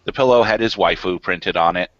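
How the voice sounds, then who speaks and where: A man's voice: low voice, fairly quick, a bit bright, no echo. A man in a casual joking group chat, telling things in a wry, mock-serious way. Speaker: podcast panelist. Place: group internet voice call. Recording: dull lo-fi online voice call.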